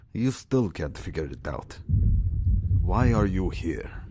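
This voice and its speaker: Man acting a low-voiced, sadistic villain captain. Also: big pitch swings, warm tone, slightly rough, no echo, clean studio sound, heavily acted. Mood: mocking. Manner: taunting, menacing, drawn-out theatrical delivery. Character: sadistic Russian ex-intelligence captain, gloating interrogator. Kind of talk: audio drama villain acting.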